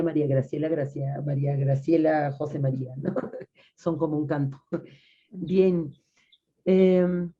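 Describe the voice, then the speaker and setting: Interviewer, mellow dark tone, chatty and hesitant, fillers. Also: mid-range voice. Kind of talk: conversational interview. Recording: muffled lo-fi recording.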